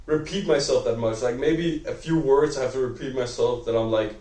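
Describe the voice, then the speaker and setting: A young man with a Danish accent talks unscripted to a camera, rambling and filling every pause with "like" while he corrects himself. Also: mid-range voice, warm tone, distant mic. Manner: rambling, heavy use of "like", self-correcting. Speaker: vlogger. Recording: echoey room, voice off-mic.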